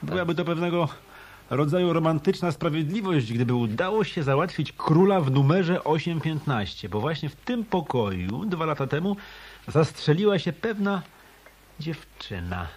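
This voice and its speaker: An actor playing a shrewd hard-boiled detective in a radio drama, speaking in a dry, deliberate, probing way. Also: male voice, on the high side, very animated, a little mellow. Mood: accusing. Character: shrewd hard-boiled male hotel detective turned private eye. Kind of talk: Polish radio drama dialogue.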